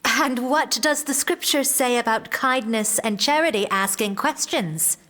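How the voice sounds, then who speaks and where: A woman playing an anxious, guarded young noblewoman in a fantasy audio drama, speaking in measured, formal, earnest phrases. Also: a little high, a bit bright. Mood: earnest, insistent.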